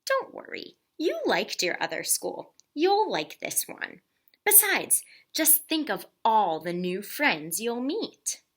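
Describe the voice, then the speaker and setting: A woman's voice: a little high, big pitch swings, slow, voice pushed up, full character voice. A woman voicing a patient but firm adult man in a storybook, cajoling and using endearments. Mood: reassuring. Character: Mister Hartwell, coaxing, patient adult man at home. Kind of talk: storybook character voice in a read-aloud.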